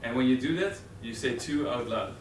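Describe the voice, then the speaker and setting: Dutch-accented man, young adult, halting presenter, lots of fillers, echoey room, distant mic, background noise. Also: medium pitch. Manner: hesitant, many fillers and restarts. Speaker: presenter in a training course. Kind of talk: live classroom presentation. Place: training room with an audience. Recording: roomy, distant camera audio with noise.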